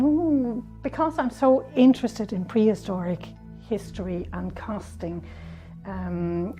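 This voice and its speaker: A woman's voice: mid-range voice, darkish tone. Danish-born middle-aged woman chatting in her workshop, stops and restarts a lot, faint music behind. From Denmark. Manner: halting, many restarts and fillers. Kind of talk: casual interview.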